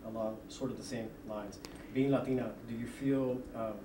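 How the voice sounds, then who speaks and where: A man asking informal interview questions, halting and stumbling as he words them. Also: medium pitch, steady tone, background noise. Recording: echoey room, distant mic, loud ambience.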